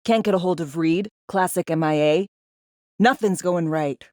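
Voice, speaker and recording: A tough, sardonic spy handler from a cyberpunk video game, acted by a woman. Her voice sits fairly low in a very dry studio sound. She talks in clipped slang, bossy and teasing, like someone used to running operations. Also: normal speed, darkish tone, no room sound, natural voice. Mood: focused, tense. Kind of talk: video game voice acting, briefings and radio guidance.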